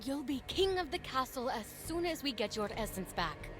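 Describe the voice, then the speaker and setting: A woman's voice: high-pitched, very animated, moderate pace, over music. A woman playing a plucky, earnest young adventurer who encourages others in a video game. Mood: determined. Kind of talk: video game character acting.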